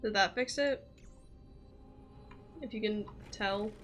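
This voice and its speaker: A woman's voice: medium pitch, slow pace. A woman chatting casually as she livestreams a video game. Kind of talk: casual chat while streaming. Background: faint game music.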